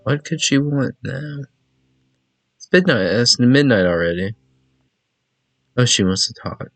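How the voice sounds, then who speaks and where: A man narrates his playthrough in a casual, reactive way, repeating himself and slipping in teasing asides. His mid-pitched voice is recorded dry and close, with game music playing behind it. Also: expressive, unhurried, fairly bright tone, no room sound. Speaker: gaming video host. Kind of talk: casual let's play commentary, reading visual novel dialogue aloud.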